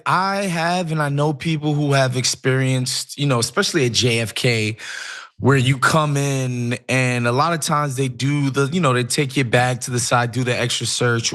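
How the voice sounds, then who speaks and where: A man co-hosting a political talk show, speaking loosely and in an animated way. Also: mid-range voice. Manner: animated, loose, rising into sarcasm. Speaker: co-host. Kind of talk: casual political discussion.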